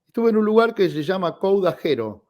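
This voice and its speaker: A man in his forties with an Argentine accent, a guest telling personal anecdotes in an expressive, conversational radio interview style. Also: high-pitched, fairly quick. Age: 40s. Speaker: guest, tenor singer.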